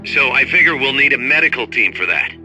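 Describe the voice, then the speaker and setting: An actor playing a brisk, efficient military second-in-command in a video game, giving briefings in an explanatory, slightly wry way. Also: male voice, mid-range voice, expressive, over music, close to an everyday voice. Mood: businesslike, wry. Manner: explanatory, businesslike.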